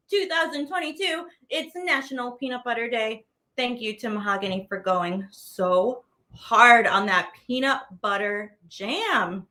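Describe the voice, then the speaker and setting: A woman with a bright, very high voice teaches a children's movement class. She is playful and exclamatory, drawing words out as she gives easy instructions. The sound is echoey, as if she stands back from the mic in a room. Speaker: teaching artist leading a children's warm-up. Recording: roomy echo, distant from the mic.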